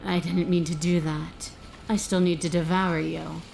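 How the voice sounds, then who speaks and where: A woman playing a predatory, hungry monster princess in a video game, speaking in a cold, taunting, gravelly way. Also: lowish voice, level tone, measured pace, a little mellow, a little hiss or hum. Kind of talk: video game character acting.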